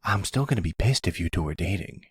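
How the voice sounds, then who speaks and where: A man's voice: low voice, animated, fast talker, slightly rough, no room sound, studio quality, full character voice. A man playing a sulky, defensive young crook, a grown son who protests and hesitates, in an ASMR roleplay drama. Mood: outraged.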